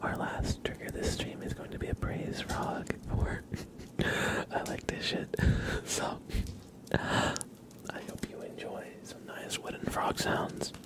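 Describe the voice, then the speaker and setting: A man hosting an ASMR livestream, chatting casually in a hushed, breathy whisper with an unhurried, relaxed manner. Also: poor audio. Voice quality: breathy whisper with low creaky undertone.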